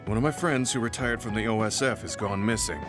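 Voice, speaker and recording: A stoic, thoughtful soldier from a video game played by a man, with a low voice and measured, formal phrasing. He sounds serious and old-fashioned, over clearly audible music. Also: close to an everyday voice. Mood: somber, troubled. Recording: background music over dialogue.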